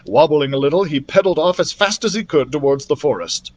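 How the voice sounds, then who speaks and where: A man narrating a children's fantasy novel as a library storyteller, expressively, in an animated manner. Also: fairly high pitch, fairly quick. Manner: animated, acts out the characters' dialogue.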